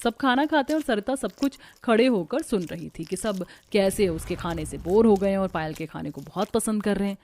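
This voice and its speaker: A woman narrating a scripted animated family story. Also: mid-range voice, a little fast, few pauses. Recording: music behind the voice.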